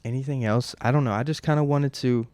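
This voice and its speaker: A man's voice: medium pitch, fairly even intonation, quick pace, a little mellow, dry recording. Rambling, profane young man podcasting. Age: 20s. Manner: rambling, profane, with many fillers and restarts. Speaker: podcast host. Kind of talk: casual solo podcast chat. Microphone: close mic at home.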